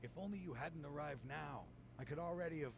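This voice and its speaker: An actor playing a defiant, ambitious rival and bitter former partner in a video game, with a theatrical, dramatic delivery. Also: male voice, fairly high pitch, brisk, gravelly, background noise. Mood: taunting, desperate.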